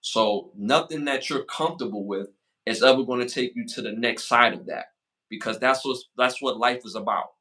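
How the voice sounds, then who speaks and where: A man in his forties hosting a casual podcast, giving motivational advice and shout-outs, repeating phrases for emphasis. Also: mid-range voice. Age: 40s. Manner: hypes the chat with rapid shout-outs. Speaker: podcast host.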